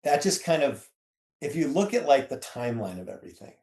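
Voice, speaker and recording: A man in his fifties chatting casually as a guest, halting and chiming in with short interjections. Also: on the high side, big pitch swings, mellow. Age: 50s. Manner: halting, interjecting, echoing partner's words. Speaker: guest, author's husband. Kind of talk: casual chat. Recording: echoey room.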